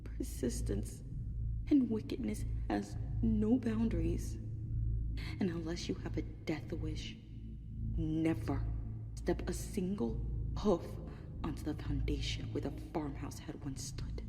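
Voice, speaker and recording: A woman playing a traumatized young adult pony survivor in a horror audio story, narrating gravely with breathy, drawn-out phrasing. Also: fairly low pitch, over music. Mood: grave, ominous. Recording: long reverb, loud background ambience.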